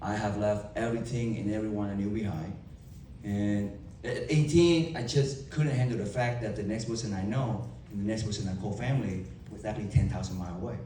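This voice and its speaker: A young man with a Vietnamese accent giving an emphatic motivational keynote to a live audience, with dramatic pauses and rhetorical questions. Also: lowish voice, fairly even intonation, dark tone, a little hiss or hum. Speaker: motivational speaker. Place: large event hall. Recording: echoey hall from far off.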